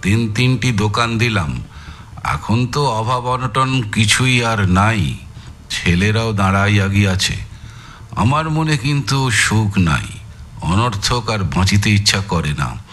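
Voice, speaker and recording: A man's voice: fairly low pitch, expressive, takes it slowly, fairly bright tone, slight background noise. A man playing a shrewd, teasing older amateur detective in an audio drama, drawling and musing with thoughtful hums. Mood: reading gravely. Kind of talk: dramatised audio story acting.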